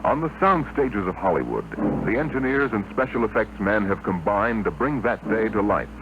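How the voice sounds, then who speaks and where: A man's voice: on the low side, very animated, moderate pace. A man narrating a 1950s radio space adventure in a solemn, scripted style, on an old broadcast recording. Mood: solemn, dramatic. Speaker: announcer and narrator. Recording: muffled, very noisy 1950 radio broadcast.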